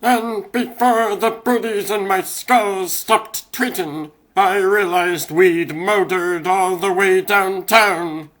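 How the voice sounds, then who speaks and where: A man's voice: high voice, fairly even intonation, slow, hardly any pauses, a bit bright. A man voicing a young mouse basketball star, telling his story in wry, slangy scripted narration for a comic dub. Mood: wry, reflective.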